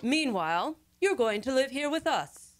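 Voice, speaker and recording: A woman's voice: high voice, sing-song, moderate pace. Woman playing a swooping, lofty, bossy matron. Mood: lofty, reassuring. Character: grandly self-assured, bossy matron who meddles. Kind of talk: old-time radio comedy acting.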